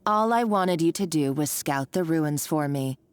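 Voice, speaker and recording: A woman's voice: low-pitched, lively intonation, unhurried, dry recording. An actress playing a gloating, scheming female villain in a video game, theatrical and sardonic, taunting as she talks. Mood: menacing. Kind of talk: dramatic video game voice acting. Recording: game dialogue over background music.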